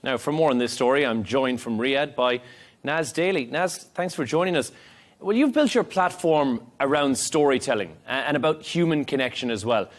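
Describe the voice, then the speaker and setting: A man's voice: medium pitch, expressive, normal speed. A man presenting television news and interviewing guests as a studio anchor. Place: broadcast TV studio.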